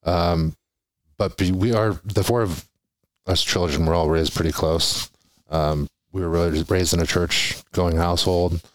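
A man from Hawaii, an army veteran, answering questions in a soft-spoken way with frequent ums. His voice is very low-pitched. The recording is dry and close. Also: steady tone, a little slow, no echo, rough recording. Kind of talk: interview answers.

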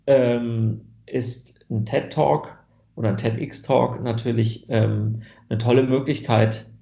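A man's voice: mid-range voice, vocal fry, dark tone, reverberant, highs cut off. A German man answering interview questions about genetics research, thoughtful, with many fillers and restarts.

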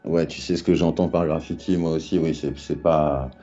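A man's voice: rumbling low, fairly even intonation, moderate pace, dark tone, muffled recording, soft background music. A middle-aged man from France, a guest telling his story in a rambling, casual way with slang and many restarts. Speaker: guest, graffiti artist and rapper. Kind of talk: casual interview talk.

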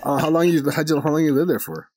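Blunt, crude male storyteller in casual banter, husky. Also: on the high side, fairly even intonation, fast, a little mellow. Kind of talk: casual chat.

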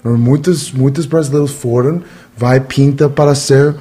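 A man chats casually in a language that is not his first. His voice is dark and mellow, and his sentences stall and restart while he searches for words. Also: mid-range voice, steady tone, husky. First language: not Portuguese. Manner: halting learner's Portuguese, searching for words. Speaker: guest. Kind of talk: casual podcast chat.

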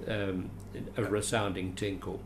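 A man's voice: sits low, flat delivery, a little slow, noisy background. An older Australian man, a veteran actor, reminiscing in a rambling, chatty way with dry self-deprecating asides. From Adelaide, Australia. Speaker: veteran actor, interview guest. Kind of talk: reminiscing conversational interview.

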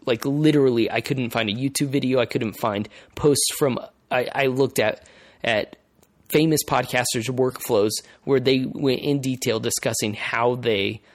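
A male podcast host explaining things in casual conversation, haltingly, repeating phrases as he thinks aloud. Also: medium pitch, fairly even intonation, no echo, clean studio sound.

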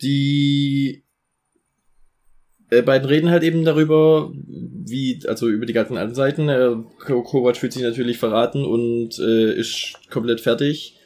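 A man's voice: medium pitch, fairly even intonation, normal speed, a little mellow. A man co-hosting a podcast, recapping a series episode in a rambling way with many fillers. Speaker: podcast co-host summarizing an episode.

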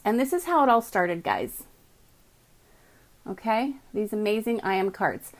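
A woman hosting a live morning podcast from her home office, chatty and upbeat, greeting viewers by name, with a voice she calls calming, on a computer mic. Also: medium pitch. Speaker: podcast host. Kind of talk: casual live video chat.

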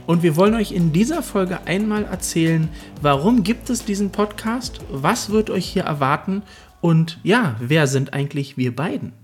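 A man's voice: high voice, expressive, normal speed, slightly rough, soft background music. A man co-hosting a podcast, telling his story in an earnest, reflective way. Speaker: podcast co-host. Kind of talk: casual podcast chat.

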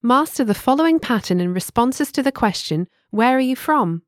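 Lively-voiced woman narrating a language lesson. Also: fairly high pitch, no room sound, studio quality. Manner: clear, measured, instructive. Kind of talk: scripted instructional narration.

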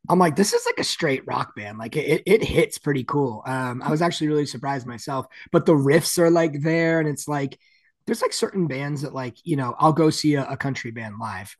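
Deep raspy man, rambling and dry. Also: moderate pace, no room sound. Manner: rambling, many "like" fillers. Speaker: guest musician. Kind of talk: casual interview chat.

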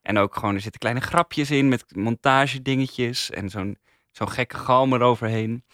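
A man's voice: medium pitch, moderate pace, a bit bright, no room sound, clean studio sound. A man chatting casually as a reviewer on a podcast panel. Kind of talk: casual chat.

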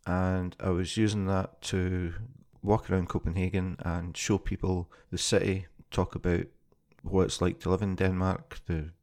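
Brief: Scottish male podcast host, low gravelly voice, relaxed conversational delivery. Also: a little slow, hardly any pauses. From Scotland. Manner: plain, conversational, with frequent ums and small restarts. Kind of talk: casual spoken podcast introduction.

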